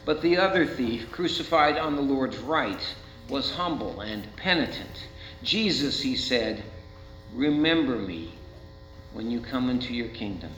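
An American man preaching a sermon as a pastor, speaking in rhetorical questions with deliberate dramatic emphasis. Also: mid-range voice, lively intonation, a bit bright, soft background music. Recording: roomy, muffled, with faint mains hum.

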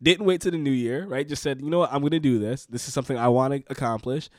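A man's voice: medium pitch, quick pace, dry recording. A man in his late twenties chats as a podcast co-host, leaning on his points with earnest repetition like a motivational coach. His voice sits in an ordinary middle range and is recorded close and dry, with no room sound. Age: 20s. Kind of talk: casual conversation.

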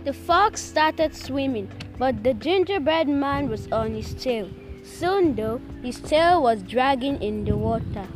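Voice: high voice, lively intonation, takes it slowly, poor audio. A young school pupil reading aloud in a storytelling style. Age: child. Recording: faint music behind the voice.

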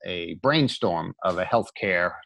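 A man's voice: deep voice, expressive, slow pace, vocal fry, fairly bright tone, no room sound. A man co-hosting a podcast, chatting casually and conversationally. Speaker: podcast co-host.

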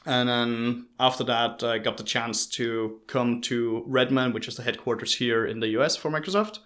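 A man from Austria speaks as a guest in a casual interview, at a medium pitch. His Austrian accent carries an Irish-tinged R over native German. He is informal and a little rambling, with dry humour. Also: fairly even intonation, moderate pace, fairly bright tone. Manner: informal, rambling, dryly humorous. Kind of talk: casual chat, telling life story.

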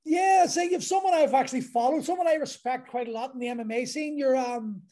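A man's voice: very high-pitched, fairly quick, warm tone. A man hosting a podcast interview, talking casually and often circling back to restart his thoughts. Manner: rambling, restarts thoughts and piles up clauses. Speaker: podcast host.

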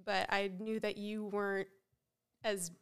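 A woman co-hosting a casual podcast chat with a head cold, sounding nasal and froggy, chatty and quick to laugh, with many fillers. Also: medium pitch, level tone, smooth. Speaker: podcast co-host.

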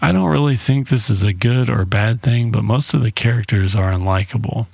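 A man's voice: medium pitch, fairly quick, vocal fry, a little mellow, dry recording. A man hosting a solo podcast, giving a casual movie review in a blunt, informal way with some swearing. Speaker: podcast host.

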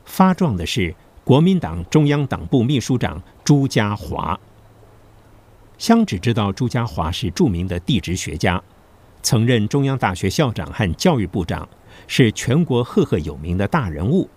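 A man's voice: lowish voice, sing-song, normal speed, darkish tone, husky. A man narrating a scripted historical drama as its storyteller. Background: background music.

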